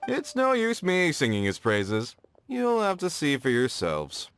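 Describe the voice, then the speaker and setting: A man's voice: a little high, wildly swooping pitch, measured pace, a bit bright, faint music behind, full character voice. An earnest old mining foreman. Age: older.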